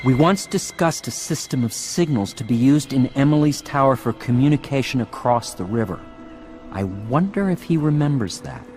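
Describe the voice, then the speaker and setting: A man playing a courteous, formal gentleman inventor in a video game. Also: medium pitch, lively intonation, moderate pace, lightly acted. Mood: composed. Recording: loud music, machinery behind.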